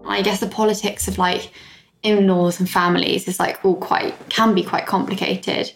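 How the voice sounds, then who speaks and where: A woman's voice: medium pitch, flat delivery, moderate pace, vocal fry, faint music behind, rough recording. A young woman co-hosting a podcast, rambling casually and saying "like" a lot. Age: 20s. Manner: rambling, heavy use of "like". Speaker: podcast co-host. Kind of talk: casual chat.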